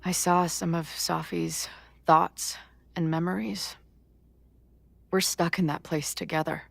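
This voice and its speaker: A woman's voice: lowish voice, little pitch movement, takes it slowly, creaky, a bit bright, no echo, heavily acted. An actress playing a weary, wry young woman resigned to death, plain-spoken and sardonic, in naturalistic video game dialogue. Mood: troubled, resigned.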